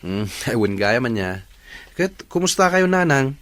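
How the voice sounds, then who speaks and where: Placating grown son male actor, Filipino accent, radio serial; expressive swings in a dry recording. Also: mid-range voice, measured pace, no room sound. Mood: placating, teasing. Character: grown working son, easygoing, placating boyfriend. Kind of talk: radio drama acting.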